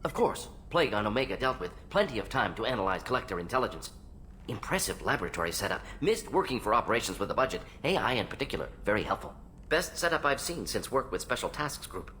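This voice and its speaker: Brisk alien scientist, swooping pitch. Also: male voice, big pitch swings, sharp tone, a little hiss or hum. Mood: brisk, pleased. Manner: clipped fragments, drops articles, rapid-fire musing. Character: fast-talking alien salarian scientist, brisk, analytical, eccentric. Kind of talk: video game character acting.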